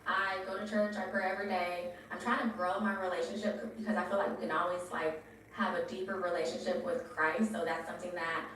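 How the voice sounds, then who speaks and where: A woman's voice: fairly low pitch, flat delivery, fast talker, fairly bright tone. A woman in her twenties giving a casual answer as a dating show contestant. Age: 20s. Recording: echoey, distant, faint noise.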